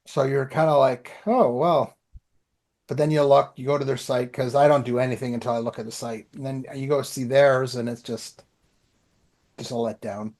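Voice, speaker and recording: A man's voice: mid-range voice, fairly even intonation, moderate pace, darkish tone. A man chatting as a podcast host in an informal, loosely anecdotal, conversational way. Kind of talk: casual conversational chat.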